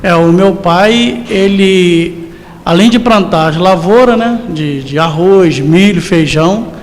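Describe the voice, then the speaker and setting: A man in his forties with a high-pitched voice. He answers as an interview guest, politely and simply, often hesitating and adding tag questions. The recording rings like a large hall. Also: slow pace, slight background noise. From rural interior of Rio de Janeiro state, Brazil. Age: 40s. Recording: reverberant hall.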